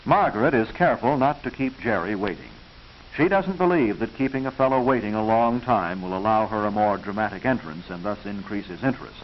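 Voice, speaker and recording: Male narrator, American, mid-century educational film style. Instructive, proper, expressive intonation, dull hissy vintage audio. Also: on the low side. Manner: instructive, proper, measured enunciation. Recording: hissy, muffled 1940s film soundtrack.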